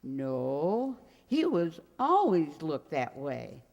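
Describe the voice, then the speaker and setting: A woman's voice: low voice, wildly swooping pitch, extremely slow, gravelly, darkish tone, muffled recording, full character voice. Lady doing a kindly muddled old landlady, rambly, voice swoops around, radio sitcom in an echoey hall. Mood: fondly reminiscing. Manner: dithering, rambling, earnest. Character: sweet, scatterbrained elderly landlady, kindly and forgetful. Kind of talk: radio comedy acting, old-time sitcom revival. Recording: very reverberant hall sound.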